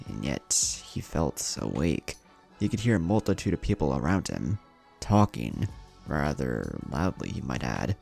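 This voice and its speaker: A man's voice: low-pitched, vocal fry, darkish tone. A man reading a story as narrator, in a dramatic scripted narration style. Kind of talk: dramatic narrated fan fiction reading with character dialogue.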